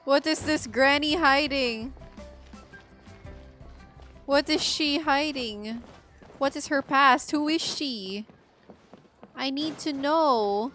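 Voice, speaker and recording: A woman streamer with a high voice talks casually to her chat. She is expressive and chatty but takes her time, with faint game music behind her. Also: slow, pauses often. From Philippines. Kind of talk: casual livestream reaction commentary.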